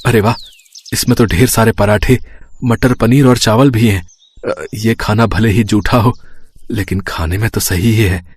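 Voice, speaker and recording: A man's voice: on the low side, steady tone, moderate pace, husky, dry recording. A man playing a poor, humble young scrap picker in a cartoon story, musing simply to himself. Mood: pleased, relieved. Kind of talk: Hindi moral cartoon story acting.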